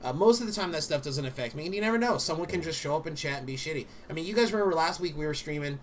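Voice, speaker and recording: A man co-hosting a streamer talk show, telling animated stories in casual chat with many fillers and some swearing. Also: fairly high pitch, racing, slight background noise.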